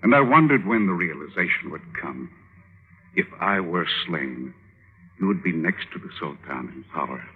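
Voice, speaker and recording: A proud warrior husband who challenges all comers. His voice is low and husky, his delivery measured and imperious. It comes through a narrow old radio recording. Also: animated. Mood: challenging. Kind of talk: 1950s radio drama acting.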